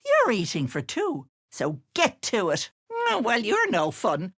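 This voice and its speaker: Scheming old auntie witch, honeyed and sly, slow, singsong swings in pitch, dry studio sound. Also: wildly swooping pitch, a bit bright, no room sound, full character voice. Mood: sugary. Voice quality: honeyed, mocking, sly endearments. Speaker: video game character. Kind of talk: game voice lines.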